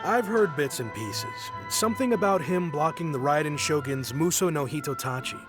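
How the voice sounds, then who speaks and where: An actor playing a friendly, easygoing young sailor in a video game, speaking in a conversational way. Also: male voice, on the high side, animated, normal speed, natural voice. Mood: puzzled, easygoing. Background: game music.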